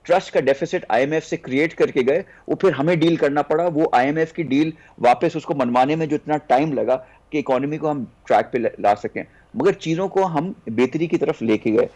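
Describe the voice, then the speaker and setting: A man's voice: on the high side, brisk, few pauses, a little mellow. A man with a Pakistani accent making an argumentative case in a political discussion, mixing in English terms. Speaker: political guest on a news talk show. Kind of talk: political discussion, explaining policy.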